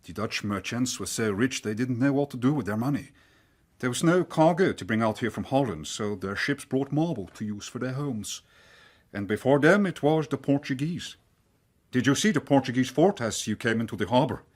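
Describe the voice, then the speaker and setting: A man's voice: medium pitch, animated, moderate pace, lightly acted. Good-natured young man played by an actor. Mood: enthusiastic. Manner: precise foreign phrasing, earnest. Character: big young Danish trader, open, good-natured, bookish and enthusiastic. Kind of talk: radio drama acting.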